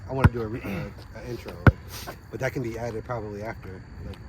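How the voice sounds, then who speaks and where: Slightly hoarse man, very noisy outdoor recording. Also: medium pitch, fairly even intonation, quick pace. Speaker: podcast co-host. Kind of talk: unscripted freestyle podcast chat. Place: outdoor basketball court. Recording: loud outdoor traffic noise. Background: passing vehicles.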